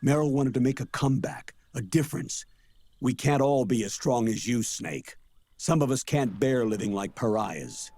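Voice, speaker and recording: An actor playing a weary, defensive older colonel in a video game, husky and measured in delivery. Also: male voice, mid-range voice, animated, darkish tone, no room sound, lightly acted. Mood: earnest, defensive. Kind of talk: dramatic video game voice acting.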